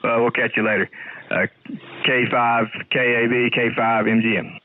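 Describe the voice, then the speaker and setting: A man's voice: medium pitch, level tone, normal speed. Male ham radio caller, American, plainspoken and a little hesitant, thin band-limited radio sound. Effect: two-way radio. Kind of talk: casual ham radio chat. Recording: narrow tinny ham radio signal, static.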